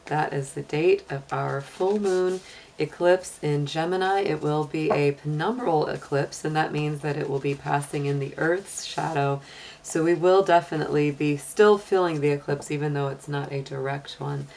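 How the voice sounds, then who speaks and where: A woman with a deep, slightly hoarse voice, talking casually as the host of a tarot and astrology reading. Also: normal speed, darkish tone, a little hiss or hum, rough recording. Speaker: tarot reader and channel host.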